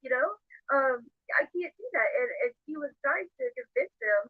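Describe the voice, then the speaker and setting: A woman chatting casually on a live stream, repeating herself haltingly and quoting what others say. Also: sits high, animated, no echo. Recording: narrow phone-like audio, played clip.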